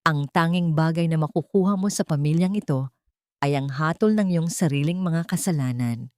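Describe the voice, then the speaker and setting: A woman's voice: sits low, moderate pace, a little mellow, dry recording, clean studio sound, close to an everyday voice. A woman voicing a resolute wronged mother, speaking firmly as the heroine of a drama story. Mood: defiant.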